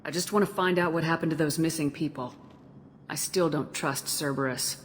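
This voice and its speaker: A woman's voice: sits low, moderate pace, big-room sound, a little hiss or hum, natural voice. A woman playing a no-nonsense military commander in a video game, direct and assertive as she questions her crew.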